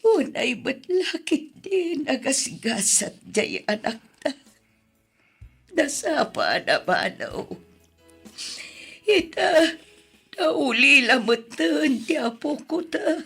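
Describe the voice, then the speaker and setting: An elderly grandmother in a radio drama, grieving yet tender. Her delivery is slow and coaxing, with wide emotional swings in pitch. Music plays behind her. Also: big pitch swings, fairly bright tone, exaggerated voice. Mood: sorrowful. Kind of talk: Ilocano radio drama acting.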